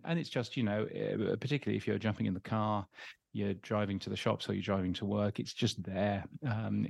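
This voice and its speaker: A middle-aged man with an English accent talks through interview answers in a casual, halting way, filling pauses with ums. His voice sits slightly low and has a gravelly edge. The recording is dry and close. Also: darkish tone, no room sound. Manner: hesitant, many ums and restarts. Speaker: interview guest.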